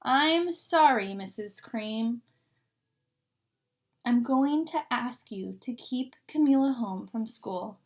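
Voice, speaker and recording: A woman voices a storybook school principal. He is formal and apologetic but firm, with a fairly high voice. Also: expressive, slow.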